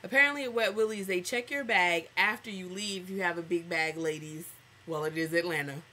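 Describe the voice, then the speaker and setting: A young American woman chats casually to her webcam. Her voice sits a little low for a woman and is bright and forceful. She is animated and sassy, full of slang. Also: a little fast. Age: young adult. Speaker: vlogger. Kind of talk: casual vlog storytelling. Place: small indoor room at home.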